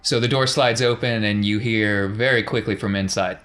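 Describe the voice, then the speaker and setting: A man's voice: lowish voice, fairly even intonation, normal speed, a bit bright, a little hiss or hum. A man acting as game master, narrating a tabletop roleplaying game in a casual, explanatory way. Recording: faint music behind the voice.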